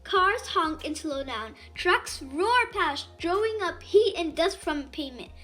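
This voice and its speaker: A woman reading a children's picture book aloud in an animated, expressive storytelling way. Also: extremely high pitch, unhurried, faint music behind. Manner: animated, dramatizes dialogue.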